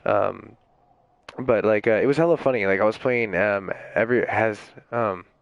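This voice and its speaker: A young man streaming games while sick, with a raspy, hoarse voice, rambling in casual chat full of fillers and swearing. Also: fairly low pitch, moderate pace, dry recording, lo-fi sound. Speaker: game streamer.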